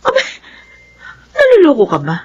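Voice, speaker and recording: High-pitched, domineering scolding mother. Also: huge pitch swings, normal speed, highs cut off. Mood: stern, insistent. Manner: sharp, impatient, commanding. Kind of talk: Filipino radio drama acting.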